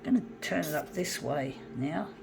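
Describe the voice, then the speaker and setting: A middle-aged woman talks in a casual, meandering way, full of ums and restarts. Her voice sits on the low side. A faint hiss of noise runs under the recording. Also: lively intonation, moderate pace, vocal fry. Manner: rambling, many fillers and restarts. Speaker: zine and mixtape maker. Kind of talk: casual reminiscing while working.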